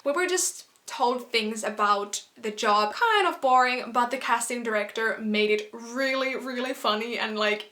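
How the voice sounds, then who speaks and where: A young woman from Finland, a native Finnish speaker, chatting casually to camera as a vlogger in an animated storytime style. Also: fairly high pitch. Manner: chatty and animated, quick asides and quoted exclamations.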